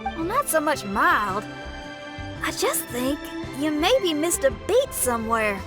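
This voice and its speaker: A woman's voice: sits high, very animated, takes it slowly, over music, heavily acted. A woman voicing a spirited, friendly young female musician in a video game, with a folksy, casual way of talking. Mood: easygoing, modest. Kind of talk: animated video game character acting.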